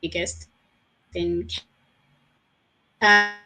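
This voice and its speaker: Slow, hesitant woman hosting. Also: on the low side, flat delivery, sharp tone. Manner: hesitant, with many fillers. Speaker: host. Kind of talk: introducing guests on an online panel. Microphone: video call.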